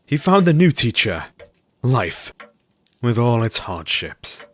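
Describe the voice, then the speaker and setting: An actor playing a polite, weary doctor and loyal former pupil in an audio drama, in a measured manner. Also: male voice, medium pitch, sing-song, slight background noise. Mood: resigned.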